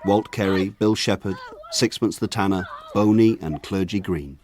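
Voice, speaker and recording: An actor playing a grown man who narrates his rough village boyhood in a measured, wry radio drama style. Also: low voice, background noise, lightly acted. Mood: wry, nostalgic. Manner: measured, wry, lyrical phrasing. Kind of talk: scripted radio drama narration, literary storytelling.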